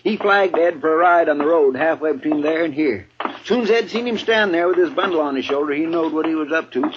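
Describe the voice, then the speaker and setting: An earnest frontier deputy in an old radio western, played by a man, fairly high in voice. He talks in a folksy rural Western American drawl, on a muffled, hissy recording. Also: brisk, close to an everyday voice. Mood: earnest reporting. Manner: folksy, unschooled, deferential. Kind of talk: 1950s radio western acting.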